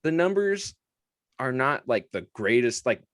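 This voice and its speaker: A man's voice: mid-range voice, very animated, measured pace, no echo, studio quality. A young man co-hosting a casual sports podcast, chatty and laughing often as he talks. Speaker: podcast co-host.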